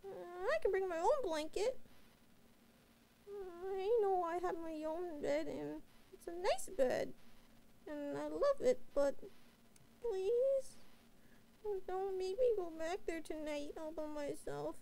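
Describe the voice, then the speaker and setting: A woman's voice: extremely high pitch, animated, slow pace, long pauses, warm tone, a little hiss or hum, heavily acted, rough recording. A woman playing a timid, clingy young catgirl who stammers and whimpers as she pleads with her master in a bedtime roleplay audio. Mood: shaky, timid. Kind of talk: roleplay audio drama, bedtime sleep aid. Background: rain and thunder ambience.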